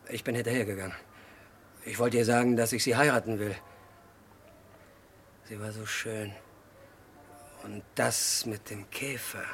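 An actor in a German radio crime play, playing an unhinged, childlike murderer who confesses in halting, disjointed sentences. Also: male voice, lowish voice, fairly even intonation, slight background noise. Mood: subdued, wistful. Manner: halting, disjointed short sentences, swinging from hushed to agitated outbursts. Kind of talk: mid-century German radio crime drama acting.

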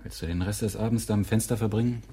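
Radio play lover: cynical, restless, low and very breathy, terse resigned phrasing. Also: male voice, fairly even intonation, fast talker, warm tone. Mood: weary, irritated. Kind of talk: radio drama acting.

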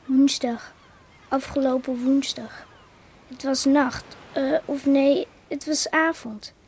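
An actress playing a young fishing-village wife who gently reassures her father, hesitant at first and then steady. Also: sits high, slow pace. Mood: calm. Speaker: daughter breaking bad news.

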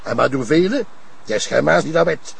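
A man's voice: on the high side, expressive, a little fast, creaky, a little hiss or hum. A man acting as an agitated, indignant and prejudiced Flemish local, blunt and ranting, in a television drama. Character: agitated, xenophobic male local gossip, indignant.

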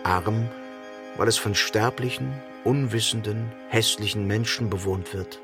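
An actor playing a solemn, elegiac narrator who echoes a doomed king's thoughts in measured radio play phrases. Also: lowish voice, steady tone. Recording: music behind the voice. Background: music.

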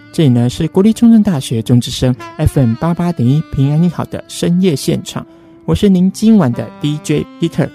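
A man hosting late-night campus radio, speaking in a polite, gently soothing manner. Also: a little high. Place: radio studio, live broadcast. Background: soft plucked guitar music.

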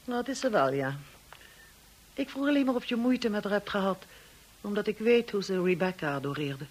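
A woman playing a blunt, upper-class sister-in-law in a radio drama, candid, brisk and probing. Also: mid-range voice, lively intonation, lightly acted. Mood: frank, inquisitive.

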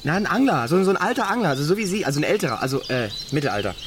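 An actor playing an awkward, eager nineteen-year-old in a radio play, stammering and deferential, speaking colloquially. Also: male voice, fairly high pitch, big pitch swings, rapid-fire. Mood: nervous, evasive. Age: young adult. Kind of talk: naturalistic radio play acting. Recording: clear outdoor noise with birds and insects.

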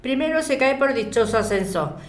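A woman's voice: high voice, lively intonation, fairly quick. A woman with an accent from Spain acting a chatty, curious neighbour in an audio drama. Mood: chatty, reassuring. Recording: echoey room.

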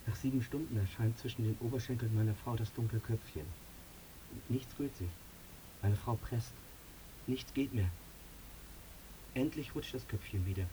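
An actor playing a tormented, guilt-ridden air traffic controller in a radio drama, speaking in a halting, pleading way. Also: male voice, medium pitch, warm tone, close to an everyday voice, poor audio. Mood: haunted.

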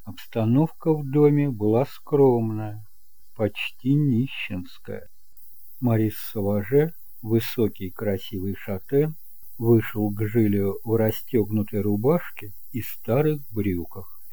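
Low, mellow male audiobook narrator. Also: slow pace, dry recording, rough recording.

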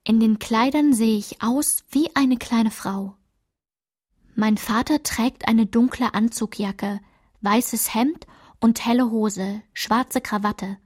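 A woman performing a radio play's literary narration as someone looking back on her girlhood, in a measured, descriptive way. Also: fairly high pitch, a little mellow. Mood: reflective, measured. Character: reflective woman recalling her girlhood through old photographs.

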